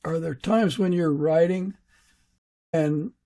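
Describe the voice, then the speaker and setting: A man with a soft-edged, mellow voice pitched a little above average. He hosts in a casual conversational way, easing tentatively into questions and agreeing in brief replies. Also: slow. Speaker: podcast host interviewing a guest. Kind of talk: casual interview chat.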